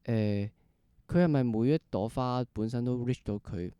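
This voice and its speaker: A man's voice: medium pitch, moderate pace, dark tone, clean tone, no room sound, highs cut off. A man with a Hong Kong Cantonese accent hosting a casual interview, hesitating and repeating phrases, with English words mixed in. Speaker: podcast host.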